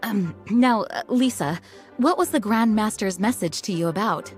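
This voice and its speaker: A woman's voice: medium pitch, faint music behind, natural voice. Woman as a composed, courteous knight commander. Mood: gracious. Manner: polite, formal, measured explaining. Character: composed, courteous young female knight leader. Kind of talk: video game character dialogue.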